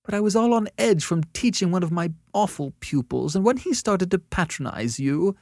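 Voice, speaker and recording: A man voicing a quick-tempered young woman artist in an audiobook story, sharp-tongued yet remorseful, with an emotional, theatrical way of talking. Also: fairly high pitch, very animated, no echo, clean studio sound, voice pushed up. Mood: miserable, remorseful.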